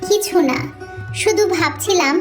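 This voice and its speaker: Woman acting a little village girl, very high voice, childlike, earnest, cartoon story, music behind. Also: measured pace. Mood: curious. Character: little village girl Piu, gentle, curious, self-denying. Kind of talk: cartoon moral story dialogue.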